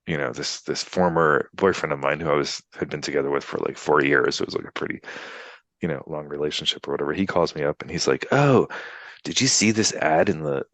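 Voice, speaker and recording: A man's voice: rumbling low, a little fast, a bit bright, no echo. An American man in his fifties, telling his life story in a casual interview with lots of fillers and tag questions. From United States. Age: 50s. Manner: rambling, filler-heavy, frequent "you know" and "right?". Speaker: interview guest, attorney. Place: Poland, remote interview. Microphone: video call.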